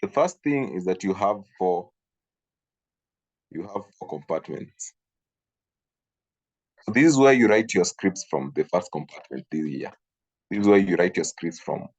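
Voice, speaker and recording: A man's voice: low voice, expressive, unhurried, long pauses, darkish tone, low-quality recording. A man from Kenya running an online training session, hesitant, with many fillers and repeated words. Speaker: instructor. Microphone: video call.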